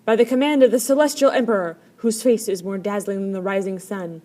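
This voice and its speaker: Woman doing a loud, pompous army general. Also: medium pitch, steady tone, fairly quick, close to an everyday voice. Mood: stern command. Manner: loud, declamatory. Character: pompous army generals proclaiming an imperial order. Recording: clear background noise.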